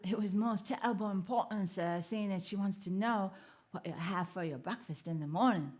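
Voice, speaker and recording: A woman playing an earnest, polite young errand boy in a radio drama, deferential and a little halting. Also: medium pitch, a little mellow, natural voice. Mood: puzzled earnestness.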